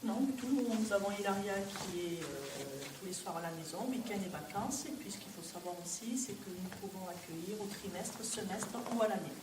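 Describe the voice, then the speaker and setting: A woman's voice: mid-range voice, lively intonation, normal speed, few pauses. A woman who hosts a foreign student, explaining the practical arrangements in a matter-of-fact way. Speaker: host mother. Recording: distant mic, echoey room, noise.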